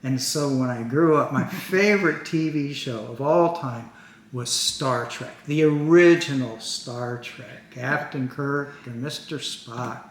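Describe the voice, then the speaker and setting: A man's voice: mid-range voice, takes it slowly. An older man from the United States, chatting casually at home with his wife and making folksy, chuckling asides. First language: English. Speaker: co-host. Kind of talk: casual couple chat. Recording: echoey room with faint background noise.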